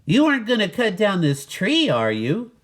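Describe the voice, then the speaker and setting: A man's voice: sits high, wildly swooping pitch, moderate pace, voice pushed up, exaggerated voice. A man voicing a curious, easily alarmed young boy in a storybook, exclaiming and asking earnest questions.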